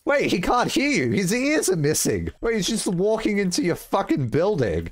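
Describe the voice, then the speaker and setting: A young man in his twenties streaming a game from a small home room, chatting casually, swearing and laughing often, close to a boom mic. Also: normal speed. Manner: animated, profane, laughs a lot. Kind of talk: casual live-stream chat. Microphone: boom mic close up, wearing headphones.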